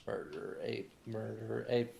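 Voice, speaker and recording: A man chatting casually as co-commentator on a game livestream, with deadpan jokes. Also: fairly low pitch, slow pace, gravelly. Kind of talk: casual chat.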